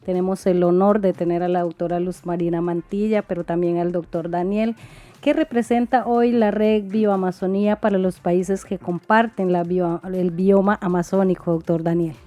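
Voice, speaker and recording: A woman hosting a radio interview, a native Murui speaker who asks formal, measured questions. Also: on the low side, fairly even intonation, dark tone. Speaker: radio host.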